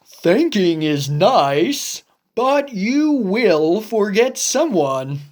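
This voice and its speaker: A woman voicing a big, gentle, worried elephant in a storybook read-aloud, speaking low and carefully. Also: big pitch swings, very slow, full character voice. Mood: cautious.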